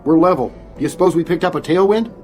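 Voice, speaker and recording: A man's voice: a little high, fast talker, darkish tone, lightly acted. An actor playing an eager, deferential male airline first officer in a radio drama. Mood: concerned.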